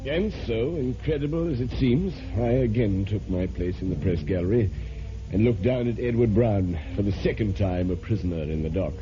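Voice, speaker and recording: A man plays an inquisitive male crime reporter in an old radio drama, his voice fairly low. The recording is muffled, with music clearly behind him. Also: normal speed, natural voice. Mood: grave narration. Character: inquisitive male newspaper reporter recounting a murder trial. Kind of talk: vintage radio drama acting and narration.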